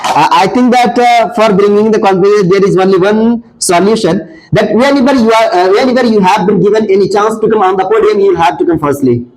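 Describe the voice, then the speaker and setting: A man's voice: high voice, a little fast, hardly any pauses, a little mellow, poor audio. A young man from India with an Indian accent, a senior student earnestly answering questions about learning English, full of fillers and restarts. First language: Hindi. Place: classroom.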